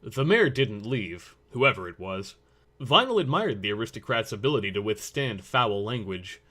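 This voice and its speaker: A man's voice: fairly low pitch, bright, forceful tone. A man narrating a scripted story as its reader.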